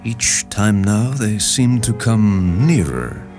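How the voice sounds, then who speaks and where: A man's voice: lowish voice, animated, slow pace, over music, voice pushed up. A man voicing a refined, melancholy count who speaks wistfully and fondly in a dramatised story reading. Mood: uneasy. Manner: wistful, hesitant, sighing. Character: refined, melancholy count, fond of his wife.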